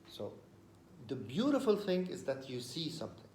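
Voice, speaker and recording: A man's voice: mid-range voice, sing-song, normal speed, darkish tone, echoey room, slight background noise. A man from the Levant explaining a recorded talk in casual conversation, earnest and hesitant, repeating phrases as he searches for words.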